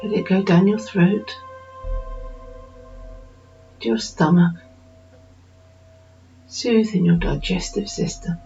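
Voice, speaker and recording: A woman narrating a guided meditation in a slow, soothing manner with unhurried pauses. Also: medium pitch, gravelly, mellow. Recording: dull lo-fi recording with music behind.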